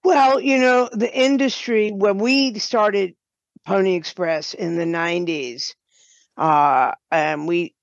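An older woman answers in an interview at a very slow, halting pace. Her voice is on the low side for a woman. The recording is a bit dull and lo-fi. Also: gravelly, no room sound. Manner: halting, deliberate. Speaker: guest, game writer and producer. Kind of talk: conversational interview. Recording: slightly dull, lo-fi sound.

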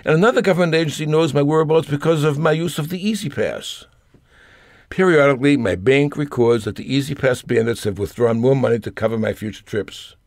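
A man's voice: fairly high pitch, fairly quick, dry recording, clean studio sound. An older man reading a scripted personal essay aloud in a wry, grumbling manner. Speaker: storyteller reading own essay.

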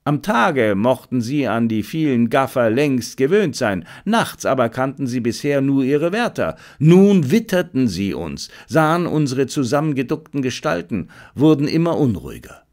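Male audiobook narrator, mid-pitched, highly animated, dramatic and suspenseful. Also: normal speed, hardly any pauses. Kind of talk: scripted narration of a detective adventure.

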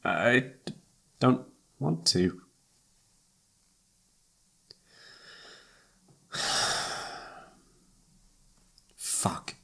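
Animated, flustered young guy best friend played by a man. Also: low-pitched, very slow, creaky, full character voice. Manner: hesitant, informal, emotive. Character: flustered, impulsive young male best friend, secretly in love. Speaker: voice actor. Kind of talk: roleplay audio drama, one side of a casual conversation.

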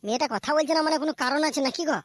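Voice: high-pitched, very fast, natural voice. A performer voicing a cheeky, meddling, foul-mouthed wedding companion in a cartoon, thin-voiced. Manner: meddling, teasing, crude. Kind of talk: amateur comedy cartoon voice acting.

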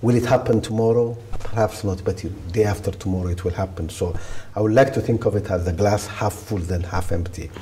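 A man in his fifties from Ethiopia, giving deliberate, steady answers as a guest in a studio interview, recorded on a lapel mic. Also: lowish voice, a little hiss or hum. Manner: deliberate, steady, with repeated restarts. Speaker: development bank regional director, guest. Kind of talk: measured policy interview answers. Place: indoor studio set, seated in wicker chair.

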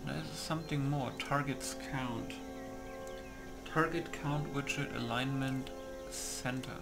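Slow, creaky-voiced man muttering through game settings. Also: mid-range voice, fairly even intonation, over loud music. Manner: muttering to himself, casual swearing. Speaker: gamer recording a mod setup. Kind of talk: casual game commentary, reading settings aloud.

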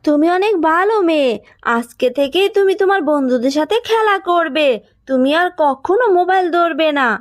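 A generous magic tree from a cartoon fairy tale voiced by a woman, talking in a high voice. It sounds earnest, kindly and reassuring. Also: lively intonation, moderate pace, big-room sound. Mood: gently admonishing.

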